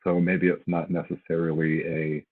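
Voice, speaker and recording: Casting: deep, husky faculty man, hesitant and casual, tinny call audio. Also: flat delivery, normal speed. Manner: hesitant and casual, many fillers. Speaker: faculty panelist. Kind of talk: advice on a webinar panel. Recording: tinny phone-like line.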